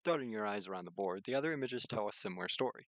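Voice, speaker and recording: A low-voiced man streams a visual novel, recorded close and dry. He reads the narration aloud and slips into casual commentary, occasionally tripping over a written line and starting it again. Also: lively intonation, rapid-fire, dry recording. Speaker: video game streamer.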